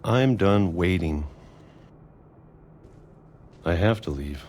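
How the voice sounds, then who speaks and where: A man playing a weary middle-aged recluse, narrating a video game monologue in a slow, measured, introspective way. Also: low voice, animated, a little mellow, slight background noise, heavily acted. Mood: grim resolve. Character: weary reclusive man who hid underground for decades.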